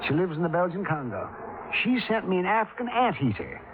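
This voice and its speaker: Crotchety, excitable old eccentric, high voice with wild swoops, folksy bluster, vintage radio drama. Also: male voice, a little fast. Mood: folksy, storytelling. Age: older. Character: cantankerous, eccentric old man, bossy and excitable. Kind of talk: 1950s radio drama acting. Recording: noisy, tinny 1950s radio recording.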